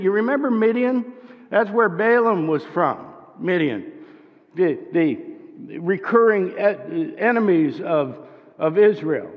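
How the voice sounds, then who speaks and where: An older man, a pastor, preaching a sermon from a church pulpit in a wry, conversational way with dry jokes. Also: high voice. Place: church sanctuary at a wooden pulpit. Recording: dull sound with long church reverb.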